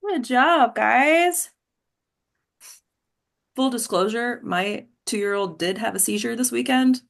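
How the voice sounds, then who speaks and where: A woman's voice: fairly high pitch, takes it slowly, gravelly. A woman chatting informally after a play reading, hesitant and often trailing off. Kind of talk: casual post-reading discussion.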